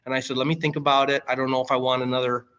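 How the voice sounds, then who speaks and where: A man in his late forties with an American accent and a bright, forceful voice. He tells work stories in a casual, familiar way, as a guest in conversation. His pitch sits in the middle of the male range. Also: level tone, very fast, clean tone, muffled recording. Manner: colloquial, familiar, calls listener man and brother. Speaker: podcast guest, firefighter and coffee company director.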